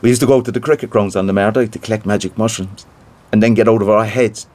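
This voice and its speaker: A man's voice: fairly low pitch, steady tone, fast talker, darkish tone, close to an everyday voice. An actor playing a gossipy, self-important small-time theatre man with an Irish Cork accent, chatty and boastful in a naturalistic audio drama.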